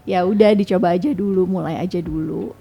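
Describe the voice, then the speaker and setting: A woman's voice: lowish voice, steady tone, brisk, creaky, mellow, slight background noise. A woman with an urban Jakarta accent giving casual explanations as an interview guest, informally mixing in English words. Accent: urban Jakarta Indonesian. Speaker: company leader, interview guest.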